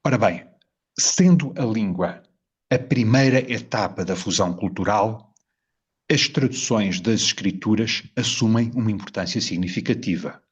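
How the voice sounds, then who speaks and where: A man from Portugal with a European Portuguese accent, presenting a measured, reflective spoken essay and often posing rhetorical questions to the viewer. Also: fairly low pitch, breathy edge. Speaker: video presenter.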